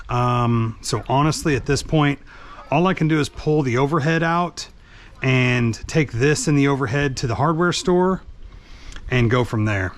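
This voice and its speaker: A man giving an informal, hands-on how-to, talking through the task as he works. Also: mid-range voice. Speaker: vlogger, truck driver. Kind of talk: casual hands-on how-to narration. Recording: background noise while working.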